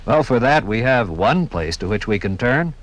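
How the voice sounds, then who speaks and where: A man's voice: medium pitch, lively intonation, moderate pace, rough recording. An American man giving formal 1950s radio documentary narration in a solemn, oratorical manner.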